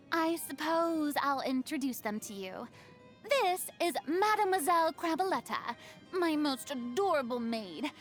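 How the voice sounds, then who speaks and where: A young stage star from a video game, vain and theatrical. Her high voice takes its time over every phrase, with pompous, haughty flourishes. Music plays behind her. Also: fairly bright tone, full character voice. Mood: proud, grand. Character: theatrical, vain, dramatic young female celebrity performer, secretly lonely. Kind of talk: video game character voice lines.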